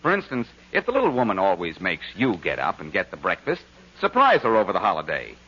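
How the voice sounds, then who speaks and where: A man's voice: low voice, huge pitch swings, fast, sharp tone, husky. A man delivering a folksy, emphatic 1940s radio commercial as the announcer. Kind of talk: 1940s radio advertising pitch. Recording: dull vintage radio recording.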